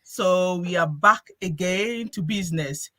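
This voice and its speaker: A woman's voice: lowish voice, unhurried, bright, forceful tone, dry recording. A woman hosting a live-stream group chat, talking in a chatty way and often restarting her phrases. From Ghana. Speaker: host.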